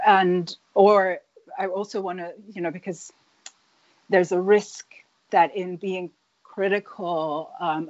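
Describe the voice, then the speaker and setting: A woman who grew up in Nigeria speaks in a lowish voice as an interview guest. She talks thoughtfully, pausing often and restarting her sentences. The sound is dry and a little dull. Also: no echo. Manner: hesitant, many fillers and restarts. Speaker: author, guest.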